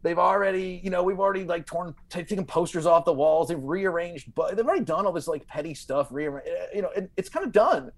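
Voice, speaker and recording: A man telling comic stories as a guest comedian, in a halting way, repeating words and leaning on filler. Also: high voice. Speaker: podcast guest, stand-up comedian. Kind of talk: animated comic storytelling.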